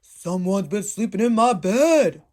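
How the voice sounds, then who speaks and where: A woman voicing an angry father bear from a storybook, with loud, emphatic roaring delivery. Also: medium pitch, expressive, measured pace, natural voice. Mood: indignant roaring.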